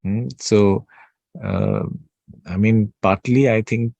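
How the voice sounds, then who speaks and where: Male spiritual teacher, deep soothing voice, dry close sound, halting delivery. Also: vocal fry, darkish tone, dry recording, highs cut off. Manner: slow, pausing, many fillers and restarts. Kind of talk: unscripted spiritual talk.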